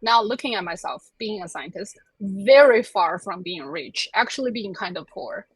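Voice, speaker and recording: A woman's voice: medium pitch, animated, moderate pace, slightly rough, no room sound, poor audio. A woman in her thirties from China, a native Chinese speaker, telling her life story in a chatty, self-deprecating way as an interview guest. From China (Chengdu). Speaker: interview guest, plant scientist.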